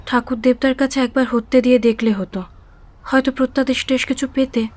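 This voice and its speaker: Casting: woman to play a put-upon but defiant young wife for radio drama, high voice, retorting. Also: fairly even intonation, a little fast, a little mellow, lightly acted. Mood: pleading, weary. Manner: retorting, evasive, exasperated. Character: long-suffering, defiant young wife of a sick man. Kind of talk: Bengali audio drama acting.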